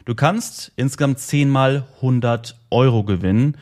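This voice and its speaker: A man's voice: mid-range voice, measured pace, darkish tone. A man giving a short scripted announcement to podcast listeners, addressing them directly.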